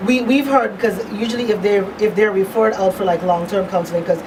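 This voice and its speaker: A woman's voice: mid-range voice, brisk. Woman interviewer, distant and noisy. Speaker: talk show host. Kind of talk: conversational radio interview. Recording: distant mics, clear hum and background noise.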